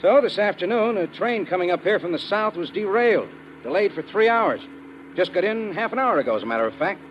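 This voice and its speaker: Muffled, noisy vintage radio drama with an American lieutenant on a case. He speaks quickly in a clipped, businesslike way, with an animated rise and fall. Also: high voice. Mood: explaining, assured. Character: matter-of-fact male American army police lieutenant. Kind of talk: 1950s radio detective drama acting.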